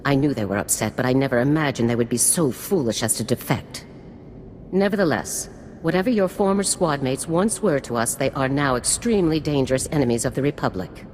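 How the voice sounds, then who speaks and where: A woman's voice: a bass voice, a little fast, darkish tone. An actress playing a stern, commanding female special forces general in a video game, speaking in a formal, authoritative, clipped way. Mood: stern, measured. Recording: long reverb with faint noise.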